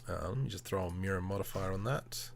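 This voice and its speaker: Low-voiced man chatting on a casual livestream. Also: quick pace, creaky, slight background noise. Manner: informal, muttering to himself, playful self-talk. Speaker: livestream host demonstrating 3D modelling. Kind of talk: casual livestream chat with thinking-aloud tutorial commentary.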